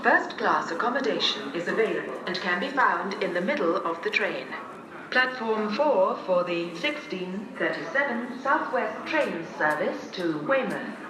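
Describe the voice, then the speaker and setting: British woman, railway announcer, slow measured delivery, formal, distant echoey tannoy. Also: medium pitch, animated, crowd noise. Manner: formal, measured, clearly segmented list reading. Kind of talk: scripted public address train announcement. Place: heard over railway station loudspeakers. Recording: echoey station tannoy from afar, noisy.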